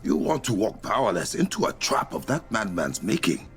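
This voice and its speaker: Game role, protective young warrior king: African accent, commanding, highly dynamic intonation, faint music behind. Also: very animated, moderate pace, gravelly, fairly bright tone, exaggerated voice. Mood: grave, measured. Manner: formal, commanding. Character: noble, resolute young warrior king, protective older brother. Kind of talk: video game cutscene acting.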